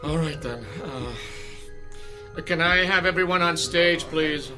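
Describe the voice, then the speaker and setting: An actor in a radio drama playing a brisk male theater director who gives instructions with authority. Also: a little high, music in the background. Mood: concerned, steady.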